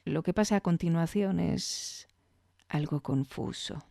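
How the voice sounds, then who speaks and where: A woman's voice: fairly low pitch, a little slow, gravelly, dark tone, no room sound. Female podcast host, Castilian accent from Spain, chatty reviewer delivery, very dry sound. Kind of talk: conversational podcast commentary.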